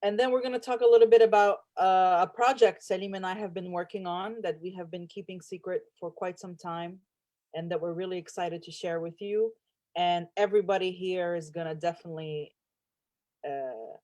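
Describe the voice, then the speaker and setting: A woman hosting a casual online chat on a video call, rambling and switching languages quickly. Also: fairly low pitch, darkish tone. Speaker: host.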